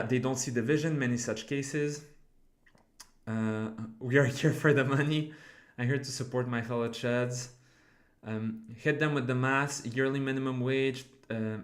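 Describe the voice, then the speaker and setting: A man's voice: mid-range voice, fairly even intonation, measured pace, reverberant. A man in his twenties chatting casually on a live stream, rambling with lots of fillers and swearing as he answers his chat. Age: 20s. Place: home room.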